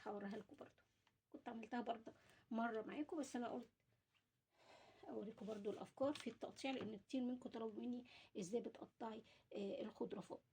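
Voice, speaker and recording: A woman with an Egyptian Arabic accent giving a casual, chatty cooking demonstration, explaining things directly to her viewers. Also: medium pitch. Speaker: home cooking vlogger. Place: at home while preparing food. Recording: clearly noisy home recording with clicks.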